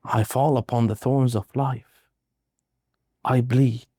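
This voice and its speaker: Male poetry reader, medium pitch, solemn and measured delivery with deliberate pauses, warm relaxed tone, dry recording. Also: no echo. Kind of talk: slow dramatic poetry recitation.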